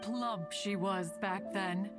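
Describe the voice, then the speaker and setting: Woman acting a proud ancient female immortal, game voice, medium pitch, formal archaic phrasing, grandly talkative, music behind. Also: takes it slowly, close to an everyday voice. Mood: fondly teasing. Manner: stately, archaic, formal, calls self "one".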